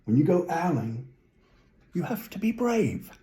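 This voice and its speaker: A man's voice: on the high side, very animated, a little slow, dark tone, echoey room, a little hiss or hum. A man reading a children's story aloud, with whimsical, musing asides to the listener. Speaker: storybook reader.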